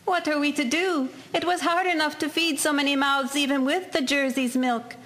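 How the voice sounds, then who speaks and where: A woman's voice: high voice, steady tone, normal speed, fairly bright tone. A woman playing an anxious, accusing, superstitious farm wife in a historical drama. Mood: worried, accusing.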